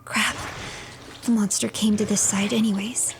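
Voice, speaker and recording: A woman narrating a video game as a story in a scripted third-person style, storyteller-like, often dropping to a hush at the ends of phrases. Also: mid-range voice, flat delivery, moderate pace, background noise. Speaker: streamer narrating game playthrough.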